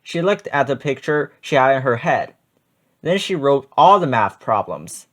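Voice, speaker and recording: A man's voice: medium pitch, very animated, unhurried. A man narrating a children's storybook as a read-aloud.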